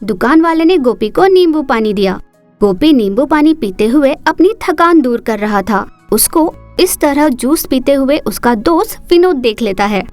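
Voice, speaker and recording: A woman narrating a cartoon story in a steady, expressive storytelling manner. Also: high-pitched, moderate pace, soft background music.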